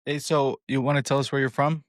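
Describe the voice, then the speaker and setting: A man's voice: medium pitch, flat delivery, brisk, no room sound, clean studio sound. Laid-back man hosting a podcast interview. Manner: laid-back, plain-spoken. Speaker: podcast host. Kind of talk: casual interview.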